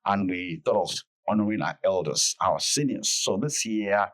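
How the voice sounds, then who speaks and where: A man explaining things phrase by phrase in a measured, conversational interview manner. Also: on the low side, fairly bright tone. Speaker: podcast guest, festival director.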